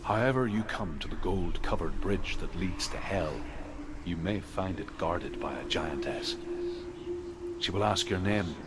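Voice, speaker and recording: An actor playing a grave teller of old Norse legends in a video game, in measured, solemn, sometimes hushed scripted narration. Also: male voice, low-pitched, animated, very noisy. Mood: grave storytelling. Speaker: storyteller narrator. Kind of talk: scripted mythic storytelling narration.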